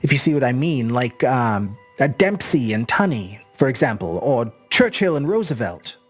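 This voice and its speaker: A woman's voice: rumbling low, big pitch swings, moderate pace, soft background music, exaggerated voice. A woman voicing a polite, puzzled seventeen-year-old boy with an earnest, hesitant way of musing aloud.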